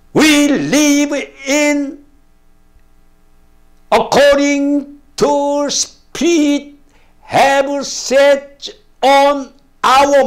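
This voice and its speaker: An older man with a Korean first language preaching a sermon to camera, slow and emphatic, often calling out hallelujah, in broken English. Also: very high voice. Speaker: pastor. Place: plain studio room, indoors.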